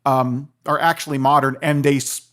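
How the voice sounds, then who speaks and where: A man, a professor appearing as a podcast guest, explaining informally and point by point in a halting way. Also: medium pitch, little pitch movement, fairly bright tone.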